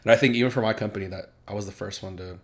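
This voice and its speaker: A young man chatting casually as a podcast guest, relaxed and halting, with many fillers and restarts. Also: on the low side. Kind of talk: casual chat.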